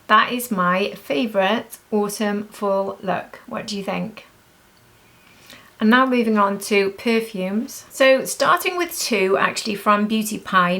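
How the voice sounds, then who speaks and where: Woman doing a chatty beauty review, lots of vocal fry, really gushy and emphatic. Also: mid-range voice, fairly bright tone. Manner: gushing, emphatic repetition. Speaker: beauty vlogger. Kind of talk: casual chatty product review.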